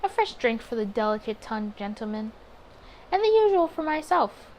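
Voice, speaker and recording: A woman voicing a suave, flirtatious man at a 1920s bar in a visual novel, with a teasing, smooth manner. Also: fairly high pitch, big pitch swings, brisk, a little mellow, poor audio.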